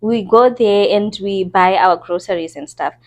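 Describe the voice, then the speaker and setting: A young woman from Zimbabwe co-hosting a podcast, telling stories in a relaxed, chatty way. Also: medium pitch, moderate pace. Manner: relaxed, chatty storytelling with many affirming murmurs. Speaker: podcast co-host. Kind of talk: casual chat.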